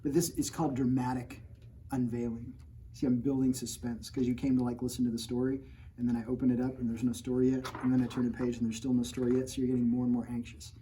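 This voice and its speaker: A man reads his own children's book aloud at storytime and keeps stopping for jokey asides, pretending to be outraged by his own plot. His voice is mellow and relaxed. A faint noise sits under the recording. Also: medium pitch, fast talker, rough recording. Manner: playful, jokey, mock-outraged, talking straight to children. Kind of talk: storytime read-aloud with playful asides to kids.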